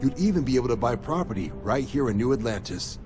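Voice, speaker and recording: A confident actor playing a male recruiter for a space navy in a video game. He pitches the service in an assured, folksy way, at a middling pitch over clear background music. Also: very animated, fast talker, lightly acted. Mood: assured, persuasive.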